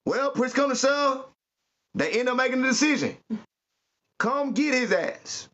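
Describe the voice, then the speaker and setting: A man's voice: very high-pitched, a little slow. Slangy man telling stories. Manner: slangy, animated, addresses listeners as "y'all". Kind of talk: animated comic storytelling. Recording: muffled lo-fi recording.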